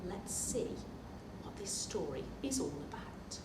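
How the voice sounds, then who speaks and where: A woman reading a children's picture book aloud at story time as a librarian, animated and expressive, pausing for asides and questions to young listeners. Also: medium pitch. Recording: echoey room, distant mic, faint noise.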